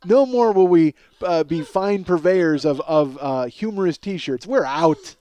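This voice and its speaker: A man's voice: a little high, lively intonation, unhurried, mellow. A man in his forties hosting a comedy podcast, telling a rambling, self-deprecating story with profanity and acted-out dialogue. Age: 40s. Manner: rambling, self-deprecating, acts out both sides of conversations. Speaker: podcast host.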